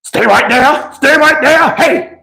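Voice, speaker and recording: A man playing a blustering, embarrassed dad in a casual story, insistent and quick to swear. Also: very high voice, sharp tone, pitched above the performer's own voice, full character voice. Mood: urgent, commanding. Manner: gruff commands, insistent, swearing. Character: blustering, embarrassed dad covering up his fear.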